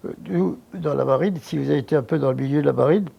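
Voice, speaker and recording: An older man recalling his childhood in an interview, halting, with many false starts. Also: a little high, gravelly, breathy edge, lo-fi sound. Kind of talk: reminiscing interview.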